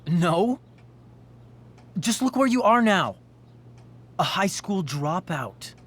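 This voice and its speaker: A man's voice: high-pitched, sing-song, slow pace, a bit bright, heavily acted. A man playing an anxious, accusing teenage boy in a dramatic video game, pressing his points with pleading emphasis. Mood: accusing, insistent.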